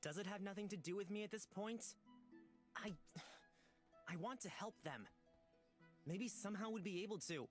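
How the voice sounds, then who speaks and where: A woman's voice: medium pitch, moderate pace, pauses often, close to an everyday voice. A woman voicing an earnest, idealistic young man in a visual novel, a little hesitant. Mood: earnest, determined. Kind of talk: visual novel character reading. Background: music.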